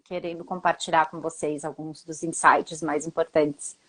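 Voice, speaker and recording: Hoarse middle-aged woman explaining astrology. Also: low-pitched, flat delivery, fairly bright tone, rough recording. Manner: measured explanatory. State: hoarse, getting over a cold. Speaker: kabbalistic astrologer guest.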